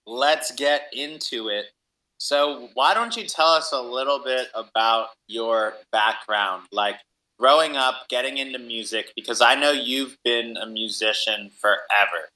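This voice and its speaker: A man with a very bright, punchy voice hosts a podcast. He is enthusiastic and upbeat, talking in a casual, slangy way as he addresses guests and listeners. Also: medium pitch, unhurried, low-quality recording. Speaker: podcast host.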